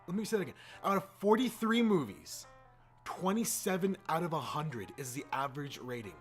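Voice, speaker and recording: A man's voice: on the high side, animated, fairly quick. A man hosting a casual opinion rant, exasperated and informal, repeating words for emphasis. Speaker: YouTube host. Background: background music.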